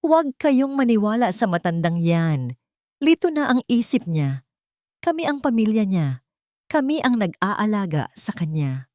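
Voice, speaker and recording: A narrator puts on a vain, scheming woman in an audio drama. Her voice jumps high and she pleads insistently, trying to talk her way out. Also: very animated, unhurried, darkish tone, dry recording, clean studio sound. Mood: frantic pleading. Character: scheming, pleading adult daughter, vain and greedy.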